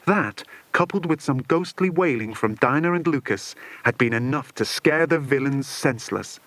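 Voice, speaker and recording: A man's voice: mid-range voice, expressive, normal speed, fairly bright tone, no room sound. A man narrating a children's adventure story as an audiobook, in a dramatic scripted style.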